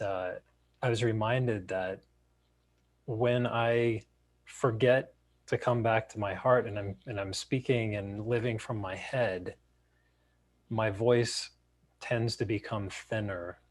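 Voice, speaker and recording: A man with a slightly raspy voice, teaching in a halting, reflective way. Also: lowish voice. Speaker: session co-host, meditation teacher.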